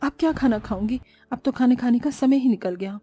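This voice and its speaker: A woman's voice: on the high side, a little fast, warm tone, close to an everyday voice. A woman voicing an overworked, long-suffering young housewife in a cartoon moral story, plaintive and dutiful. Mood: weary, complaining.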